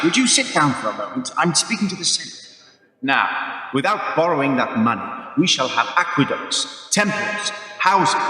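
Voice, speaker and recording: A man's voice: on the high side, pushed and bright. A man playing a pompous Roman ruler addressing the Senate in an amateur radio play, speaking in an oratorical, lordly way. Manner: oratorical, lordly, mildly exasperated. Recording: echoey hall with long reverb.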